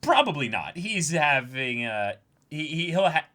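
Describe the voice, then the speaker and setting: A man co-hosting a manga reading stream, talking casually in an emotive, halting and emphatic way. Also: a little high, very animated, pushed and bright. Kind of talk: casual livestream chat and reactions.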